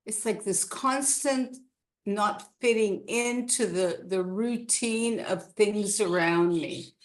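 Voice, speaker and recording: A woman's voice: mid-range voice, slow. A woman in her sixties from Canada, a guest telling her life story in a rambling, casual chat with many restarts.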